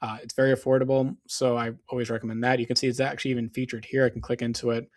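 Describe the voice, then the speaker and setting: A man narrates a screen-share walkthrough in a loose, conversational way, stopping often for ums and restarts. His voice is starting to go hoarse, and he clears his throat now and then. He sits at a middling pitch for a man. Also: flat delivery, rapid-fire. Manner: casual, explanatory, frequent fillers and restarts. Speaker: SEO business owner.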